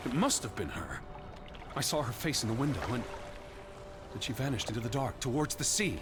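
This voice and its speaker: An actor playing an anxious, troubled man in a 1920s period video game drama, speaking in a halting, earnest, fretful way. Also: medium pitch, sing-song, close to an everyday voice. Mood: agitated, insistent. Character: anxious, troubled man searching for his lost sister. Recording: music behind the voice.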